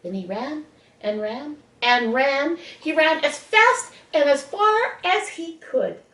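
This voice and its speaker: A woman reading a children's bedtime story expressively, with dramatic pauses. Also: high voice, animated, fairly bright tone. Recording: echoey room, distant mic.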